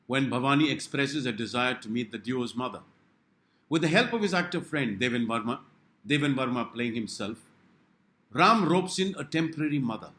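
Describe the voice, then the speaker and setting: A man reading a scripted review in a wry, dramatic manner with deliberate pauses. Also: medium pitch, fairly bright tone, reverberant. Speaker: film review narrator. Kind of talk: scripted narration.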